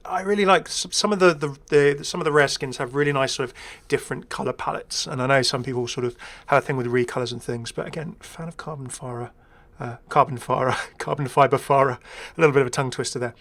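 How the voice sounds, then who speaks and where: A man's voice: medium pitch, fast talker, hardly any pauses, a bit bright. A man giving casual, rambling first-impressions commentary as a gaming video creator, chatty, enthusiastic and self-deprecating.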